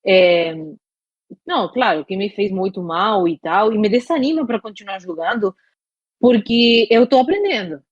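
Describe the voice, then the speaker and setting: A woman's voice: mid-range voice, expressive, normal speed, no room sound. A woman from Argentina, talking frankly and venting in a casual podcast chat. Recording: dull lo-fi dry recording.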